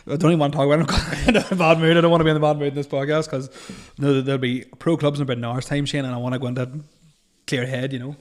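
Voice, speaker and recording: A man's voice: on the high side, flat delivery, very fast. A man hosting a casual banter podcast, rambling and crude, laughing often. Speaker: podcast host.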